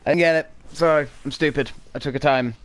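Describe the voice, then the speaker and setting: A man's voice: fairly high pitch, steady tone, fairly quick. A man giving casual, jokey let's play commentary as he reacts to a video game. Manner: jokey, informal. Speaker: gaming video host.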